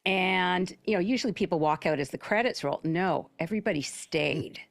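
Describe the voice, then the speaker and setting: A woman's voice: fairly low pitch, normal speed, creaky, dry recording. A middle-aged woman chatting casually on a panel, telling anecdotes and acting out what people said. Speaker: panelist, performer.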